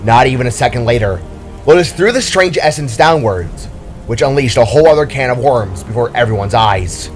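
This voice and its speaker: A man's voice: mid-range voice, animated, brisk, faint music behind. A man reading scripted fantasy action as a dramatic story narrator.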